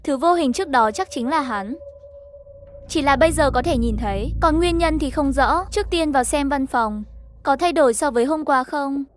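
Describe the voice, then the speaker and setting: A woman's voice: sits high, moderate pace. A woman reading a scripted horror story, narration and character dialogue alike. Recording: music playing behind the voice. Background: background music.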